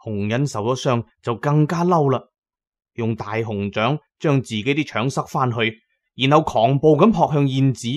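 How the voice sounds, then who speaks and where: A man's voice: mid-range voice, moderate pace. A man with a Cantonese accent narrating an audiobook as a dramatic storyteller, with colloquial asides.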